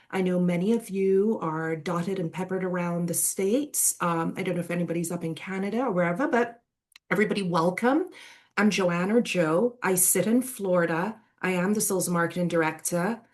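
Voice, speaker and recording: A woman raised in Barbados and England speaks in a slightly low voice. She presents as a resort sales director, pitching informally and effusively with colorful, colloquial phrases.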